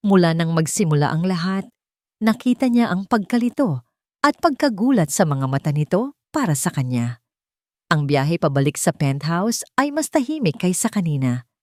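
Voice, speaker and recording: Woman reading a love story aloud, lowish voice, dry close mic. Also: darkish tone, no room sound. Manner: plays every character herself, shifting pitch for quoted lines. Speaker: narrator. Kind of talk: scripted storytelling narration.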